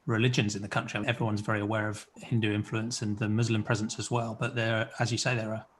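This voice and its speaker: A man's voice: fairly low pitch, level tone, fast, slight background noise. A man hosting an interview podcast, asking encouraging questions and rephrasing them at length. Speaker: podcast host.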